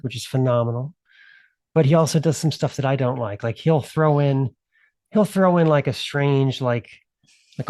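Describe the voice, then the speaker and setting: Male co-host, relaxed and wry, speaking close to a dry mic. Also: mid-range voice, no room sound. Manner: relaxed, filler-heavy, wry asides. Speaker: podcast co-host. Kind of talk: casual podcast chat.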